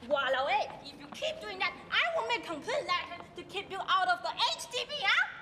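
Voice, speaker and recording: A woman's voice: very high voice, sing-song, brisk, background noise. A young woman playing a pushy, chatty Singaporean auntie in a school stage skit, with a put-on Singlish accent and tag words. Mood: scolding. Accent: Singaporean English, put on. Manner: brash, Singlish tags, scolding then friendly. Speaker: student performer. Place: large auditorium stage. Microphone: stage floor mics from afar. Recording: hall reverb from far off.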